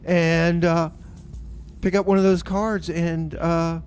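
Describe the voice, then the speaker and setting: A man's voice: on the high side, flat delivery, a little mellow, slight background noise. A man hosting community radio, laid-back and easygoing, drawling his words and calling people "man.". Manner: easygoing, drawn-out, says "man" a lot.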